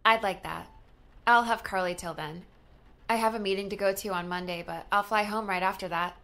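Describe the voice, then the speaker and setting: A woman's voice: medium pitch, fairly even intonation, brisk, fairly bright tone, natural voice. A woman playing a wry, sarcastic young daughter in an audiobook drama, with a dry delivery. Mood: concerned.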